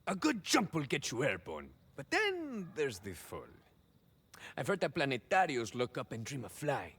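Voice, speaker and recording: Video game hero: swaggering male cyborg soldier, Mexican-American accent, very animated melody, hesitant rambling asides peppered with Spanish. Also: mid-range voice, normal speed, slightly rough, full character voice. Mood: wistful. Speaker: playable game character. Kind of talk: video game idle monologue acting.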